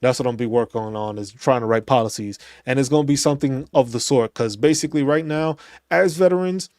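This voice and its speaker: A man, a veterans' channel host, speaking in a casual, animated rant, often restarting and repeating phrases. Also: mid-range voice, brisk, no echo, studio quality. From New York City. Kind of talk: casual opinion talk.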